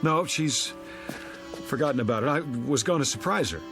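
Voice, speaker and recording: Desperate, animated American man on the run. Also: a little high, moderate pace, music in the background. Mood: anxious, hopeful. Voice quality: stammering, breaking phrases. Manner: urgent, pleading, insistent. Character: desperate, agitated man on the run, insisting he is sane. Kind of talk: television drama acting.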